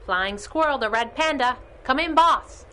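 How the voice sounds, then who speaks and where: A sassy superhero sidekick. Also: female voice, sits high, lively intonation, a little slow, bright, forceful tone, heavily acted. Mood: cheeky, brisk. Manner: cheeky, teasing. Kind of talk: radio drama acting in a pulp serial style.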